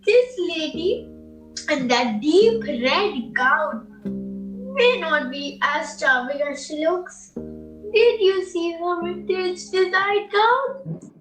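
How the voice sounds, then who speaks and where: A woman's voice: very high-pitched, animated, slow pace, fairly bright tone, heavily acted. A woman acting a clever, wary young girl in a radio play, earnest and pleading. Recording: roomy echo, music behind.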